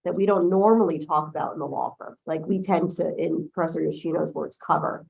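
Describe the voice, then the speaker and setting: A woman's voice: low voice, brisk, gravelly, darkish tone, poor audio. A woman lawyer whose first language is Chinese, speaking in a conference room in a chatty way and often checking in with "right?". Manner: chatty, tags points with "right?". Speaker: lawyer, panel guest. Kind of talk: conversational panel talk.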